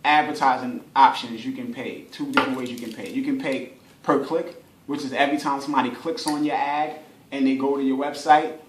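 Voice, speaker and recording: A man's voice: mid-range voice, steady tone, fairly quick, a little hiss or hum. A man giving an informal workshop explanation as an instructor, conversational, repeating phrases for emphasis and acting out hypothetical examples. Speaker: workshop instructor, advertising specialist. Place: indoor room at a radio station. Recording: roomy, echoey workshop recording.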